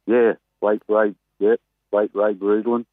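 An Australian man, a greyhound trainer, chatting casually and excitedly as a guest on a phone interview. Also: lowish voice, a little slow, dry recording. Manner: chatty, rambling, excitable about racing. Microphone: telephone. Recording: tinny phone line.

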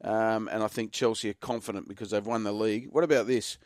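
A man with a croaky voice, giving measured football analysis on a radio segment. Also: lowish voice, fairly even intonation, dry recording, clean studio sound. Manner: measured, informative, self-correcting. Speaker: football pundit.

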